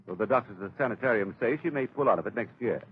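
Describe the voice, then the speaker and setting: An actor playing a friendly, hard-up, skeptical club gentleman in a 1930s radio drama. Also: lowish voice, animated, very fast, close to an everyday voice. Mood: resigned, hopeful. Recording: muffled, noisy old radio recording.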